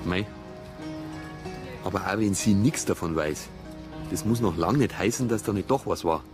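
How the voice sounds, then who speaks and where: An actor playing a dry, persistent police detective with a Bavarian accent and a colloquial, matter-of-fact manner. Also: male voice, low voice, moderate pace, over music, natural voice. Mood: reasoning.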